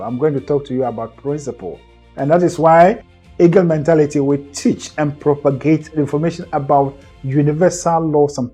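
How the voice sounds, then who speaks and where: Husky, mellow man lecturing. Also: fairly high pitch. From Ghana. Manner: earnest, preacherly, repeats and rephrases points. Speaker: host and co-founder of a mindset group. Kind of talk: motivational lecture to camera.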